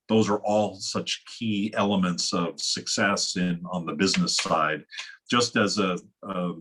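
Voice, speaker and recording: A man moderating an online panel over a video call, speaking in a measured way with frequent restarts. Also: low-pitched, fairly bright tone. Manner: measured, many restarts and fillers. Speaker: webinar moderator.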